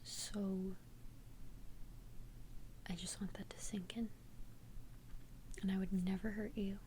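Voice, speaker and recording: A woman's voice: mid-range voice, flat delivery, darkish tone, slight background noise, close to an everyday voice, low-quality recording. Woman as a whispering young vampire confidante. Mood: earnest, nervous. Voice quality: breathy unvoiced whisper. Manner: hesitant, halting, earnest confession. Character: immortal young female vampire confiding tenderly in a best friend. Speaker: ASMR roleplay performer. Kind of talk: whispered ASMR roleplay.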